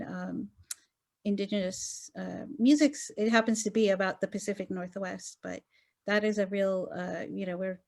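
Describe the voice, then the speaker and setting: A woman scholar speaking on an academic roundtable over a video call, earnest and thinking aloud with many fillers and "right?" tags. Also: medium pitch. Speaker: gender studies scholar on roundtable panel.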